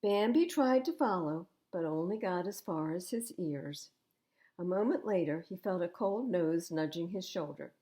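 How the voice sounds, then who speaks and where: An older woman reading picture books aloud as a library storytime host, in an expressive, animated manner. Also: fairly low pitch, moderate pace. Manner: animated, acting out dialogue.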